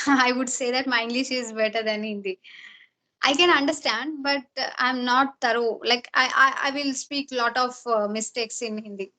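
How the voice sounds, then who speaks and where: A woman from India with a Telugu accent and Telugu as her native language, chatting casually in halting English with repeated words. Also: on the high side, steady tone, bright, forceful tone. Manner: halting, repeats words while searching for phrasing. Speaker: talk show host in conversation. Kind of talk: casual chat. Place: video call.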